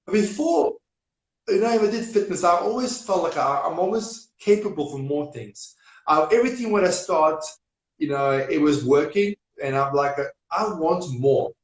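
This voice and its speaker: A man with a Serbian accent, speaking as an energetic guest in a casual live-stream interview, with non-native grammar and repetition. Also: a little high, normal speed. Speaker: business guest. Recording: echoey room.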